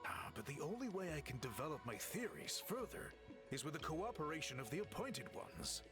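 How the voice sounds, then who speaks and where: Give me a courteous, lively-voiced scholarly chief. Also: male voice, on the high side, over music. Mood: calm, reassuring. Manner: measured, polite, formal, deliberate. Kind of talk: video game voice acting, measured scripted dialogue.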